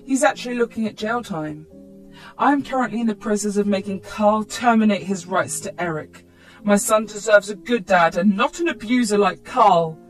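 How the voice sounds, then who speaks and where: A woman's voice: mid-range voice, moderate pace, low-quality recording. Woman narrating a scripted personal story, background music.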